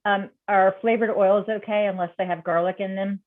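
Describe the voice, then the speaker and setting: A man's voice: sits high, fairly even intonation, moderate pace. A man moderating a meeting, reading out audience questions, hesitant and thinking aloud. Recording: tinny phone-like line.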